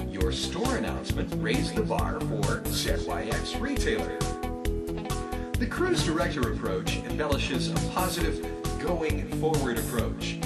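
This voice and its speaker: A man's voice: mid-range voice, recorded from a distance. A man presenting a scripted training talk with emphatic, salesman-like phrasing. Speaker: presenter, retail announcement trainer. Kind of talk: scripted instructional narration with acted examples. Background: music.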